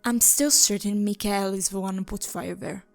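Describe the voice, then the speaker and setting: A woman's voice: mid-range voice, steady tone, moderate pace, creaky, dry recording, soft background music, close to an everyday voice. A woman acting an indignant, territorial nature goddess in a fantasy audio drama, scornful and complaining.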